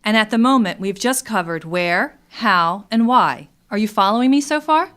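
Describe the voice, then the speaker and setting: A woman's voice: medium pitch, expressive, normal speed, fairly bright tone, close to an everyday voice. A woman playing an inquisitive, easily exasperated amateur philosopher in scripted comedic radio theatre. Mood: musing.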